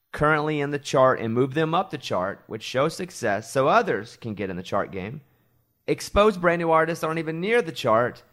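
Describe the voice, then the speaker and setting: A man's voice: mid-range voice, a bit bright. A man from Arkansas, a morning show host, chatting casually on a podcast and telling rambling stories with self-deprecating asides.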